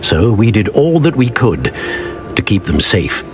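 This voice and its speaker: A solemn older wizard professor in a fantasy game. His voice is low and swings widely in pitch as he speaks in formal, measured phrases. Orchestral-style game music plays clearly behind him. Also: sing-song. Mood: solemn, grave. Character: grave older male wizard professor, solemn secret keeper. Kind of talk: dramatic video game acting.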